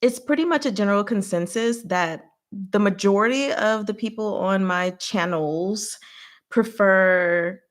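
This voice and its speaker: Female livestream host, medium pitch, informal and rambling, giggly, reacts with animation. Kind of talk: rambling casual livestream chat.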